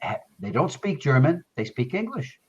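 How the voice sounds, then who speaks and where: A man's voice: mid-range voice, big pitch swings, moderate pace, slightly rough. A man tutoring English in casual conversation, encouraging and repeating phrases for emphasis over a video call. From Canada. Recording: dull lo-fi video call audio.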